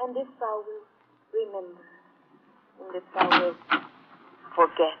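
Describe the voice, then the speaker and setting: Slow, mournful actress on muffled record. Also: female voice, mid-range voice. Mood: dreamy, mournful. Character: dreamy, mournful actress reciting poetry on record. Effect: played-back phonograph record. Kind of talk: theatrical poetry recitation. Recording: muffled vintage record, faint noise.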